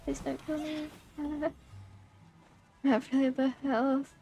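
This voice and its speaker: A woman's voice: high-pitched, level tone, slow pace, gravelly, mellow, faint music behind. A young woman commenting casually on her gameplay, thinking aloud in a hesitant way with many fillers and trailing phrases. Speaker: game streamer.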